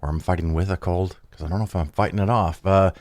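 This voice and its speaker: A man's voice: sits low, steady tone, fast talker, a little mellow, dry recording, studio quality. A man hosting his own show and talking casually, rambling and correcting himself while he has a cold. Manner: rambling, self-correcting, chatty. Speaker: podcast host. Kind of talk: casual solo talk.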